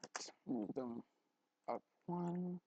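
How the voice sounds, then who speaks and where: Man, casual tutorial host, crackly fry, dry close headphone mic, halting, repeats himself, mumbles to himself. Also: high voice, mellow, no room sound, dull audio. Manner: halting, often repeats words and mutters to himself. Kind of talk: casual, unscripted screen tutorial. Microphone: headphone mic held at the neck.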